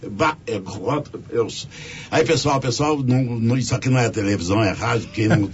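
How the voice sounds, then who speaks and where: A man, a singer-songwriter guest on a radio show, talking in a halting, rambling way. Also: mid-range voice, lo-fi sound. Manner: halting, rambling, stumbles over words. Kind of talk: radio interview chat. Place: radio studio.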